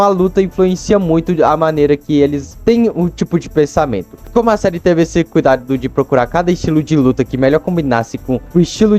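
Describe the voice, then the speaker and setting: A man's voice: on the high side, dark tone. A young man with a Brazilian accent giving casual, slangy, chatty commentary as a video host.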